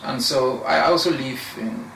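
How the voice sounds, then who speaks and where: Man, young adult, from Cameroon, interview guest, measured and argumentative, faint background hiss. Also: mid-range voice, vocal fry, fairly bright tone, far from the mic. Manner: measured, argumentative, with frequent pauses and restarts. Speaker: interview guest, law researcher. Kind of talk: interview discussion. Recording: echoey room, voice off-mic, faint noise.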